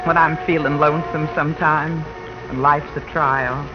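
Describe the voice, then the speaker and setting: A man plays a wistful prairie loner with a slightly high voice. He muses in a folksy way, in 1940s radio style. Music sits behind him on a muffled old broadcast. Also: flat delivery, normal speed, lightly acted. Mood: wistful, lonesome. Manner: folksy, musing. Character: lonesome male prairie dweller, plain-spoken and wistful. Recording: dull 1940 radio broadcast, loud music.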